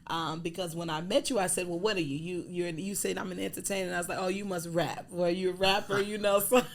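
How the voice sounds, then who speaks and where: A woman guest-hosting an interview, chatting casually and backing her guest up with quick, encouraging comments. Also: lowish voice, fairly even intonation. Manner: encouraging, quick affirmations and laughs.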